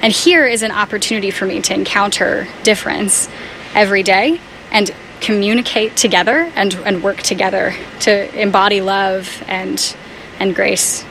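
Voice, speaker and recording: A woman with a mid-range voice speaks in an earnest, measured way, slowing down on the points she weighs most and circling back to restart phrases. Faint noise runs beneath her. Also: mid-range voice, fairly even intonation, creaky, fairly bright tone, rough recording. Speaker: staff person at a Quaker community house. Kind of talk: reflective interview conversation.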